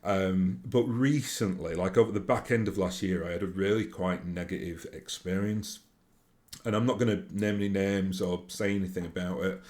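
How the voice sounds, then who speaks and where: Deep-voiced Yorkshire man, rambling chat. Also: fairly even intonation, moderate pace, a little mellow. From Yorkshire, England. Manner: rambling, with many fillers and restarts. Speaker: YouTube host. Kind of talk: casual solo monologue.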